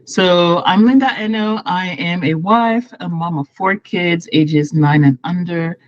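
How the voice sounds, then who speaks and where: A woman's voice: on the low side, a little slow, dry recording, lo-fi sound. A woman in her thirties, from Morocco, a guest on a video call interview, talking at length and often restarting her sentences. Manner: chatty, explains at length with restarts.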